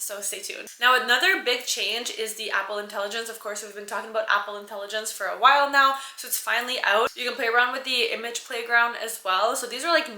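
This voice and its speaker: A woman's voice: fairly high pitch, pushed and bright, roomy sound. A woman from Canada whose first language is French, chatting to camera as a vlogger in an enthusiastic, upbeat way. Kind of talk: casual chat to camera.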